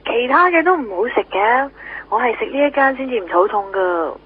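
A woman's voice: fairly high pitch, sing-song, a little fast, husky. A spoiled girlfriend on the phone. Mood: coy. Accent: Hong Kong Cantonese. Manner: pampered, coy, demanding. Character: spoiled, fussy young girlfriend. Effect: telephone. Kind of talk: 1990s radio drama acting. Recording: tinny phone line on lo-fi radio.

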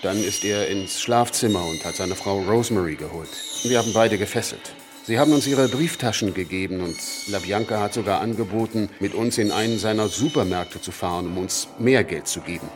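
A man's voice: fairly low pitch, fairly quick, hardly any pauses, lightly acted. An actor playing a male cult killer in a radio play, giving a matter-of-fact confession. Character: male cult killer coolly recounting his crimes. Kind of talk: first-person radio play monologue. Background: clear music bed.

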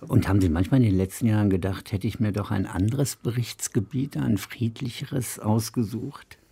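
A man hosting a radio interview, asking questions in a measured, formal way. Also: fairly low pitch, gravelly, mellow, breathy edge. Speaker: radio host.

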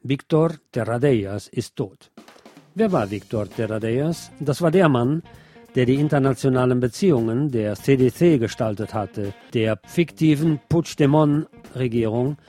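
Man, medium-pitched, soft mellow tone, scripted news narration, sardonic commentary. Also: moderate pace, soft background music. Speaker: presenter of a local news programme.

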